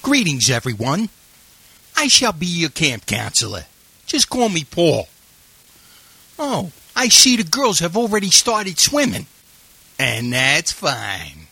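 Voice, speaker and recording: A man's voice: fairly high pitch, wildly swooping pitch, takes it slowly, vocal fry, long pauses, sharp tone, slightly rough, no echo, heavily acted. A man playing an eager, slightly sleazy camp counselor who makes chummy, formal announcements in a scripted comedy. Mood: cheery greeting. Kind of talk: scripted audio comedy acting.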